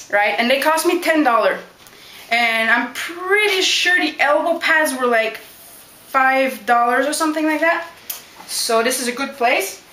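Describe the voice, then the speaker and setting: Bright-voiced young woman, chatty. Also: high-pitched, slight background noise. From Montreal, Quebec, Canada. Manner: chatty, direct, keeps asking "you know what?". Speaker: backyard wrestler. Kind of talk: casual Q&A vlog. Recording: echoey room.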